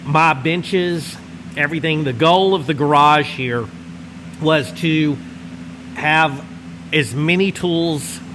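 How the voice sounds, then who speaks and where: A middle-aged man with a Northwest Louisiana Southern drawl, the channel host, chatting casually and meandering to the camera while he smokes in his garage workshop. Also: on the high side. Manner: easygoing, meandering, folksy drawl, pauses while smoking a cigar. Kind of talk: casual rambling chat to camera. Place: large insulated home garage workshop, indoors. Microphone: camera close in front, seated. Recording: steady heater hum behind voice. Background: heater running.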